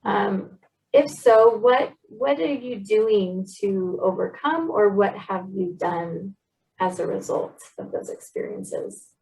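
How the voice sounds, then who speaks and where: A woman's voice: medium pitch, slow pace, creaky, a little mellow. A woman interviewing a guest, affirming and encouraging her answers. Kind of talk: conversational interview. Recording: voice a bit distant from the mic.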